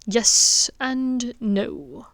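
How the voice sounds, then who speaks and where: A stern, precise male wizard explains in slow, hushed, clipped tones, read by a woman. The delivery is very unhurried. The sound is dry and close. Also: fairly high pitch, expressive, creaky, no room sound, exaggerated voice.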